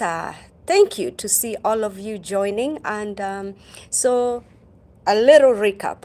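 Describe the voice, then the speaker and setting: A middle-aged woman from Kenya, hosting a livestream and telling stories slowly, with many ums and uhs and greetings to viewers by name. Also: medium pitch, a little mellow. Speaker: livestream host. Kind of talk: casual livestream storytelling.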